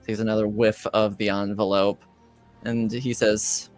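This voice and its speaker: A man narrates a tabletop roleplaying game as game master. He improvises haltingly at a slow pace, with a clear, smooth voice and quiet music behind him. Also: on the low side, flat delivery. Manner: halting, searching for words.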